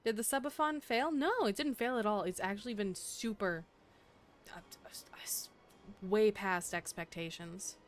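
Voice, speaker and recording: A woman's voice: medium pitch, a little slow, faint music behind. A young adult woman streaming a game, chatting casually in a playful, teasing way and laughing often.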